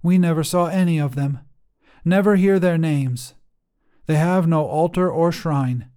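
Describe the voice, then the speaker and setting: A man's voice: a little high, measured pace, dark tone, clean tone, pitched above the performer's own voice, lightly acted. A man voicing a small, earnest child in a short story, speaking in a plain, insistent manner. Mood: matter-of-fact. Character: small earnest child, matter-of-fact, questioning a statue-like immortal. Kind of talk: short fiction narration.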